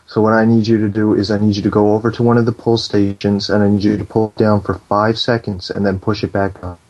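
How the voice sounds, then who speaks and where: A man playing a calm, bossy fire alarm operator on a prank phone call, deadpan, procedural and insistent. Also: lowish voice, fast talker, close to an everyday voice. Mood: deadpan, official. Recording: dull lo-fi phone call recording.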